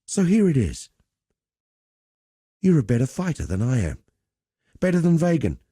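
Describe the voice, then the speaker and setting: An actor playing a roguish, cheerful veteran pit fighter in a video game, talking in a colloquial, wry, swaggering storyteller's way. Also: male voice, mid-range voice, huge pitch swings, moderate pace, warm tone, breathy edge, no room sound, clean studio sound. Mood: earnest, admiring. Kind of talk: scripted game dialogue.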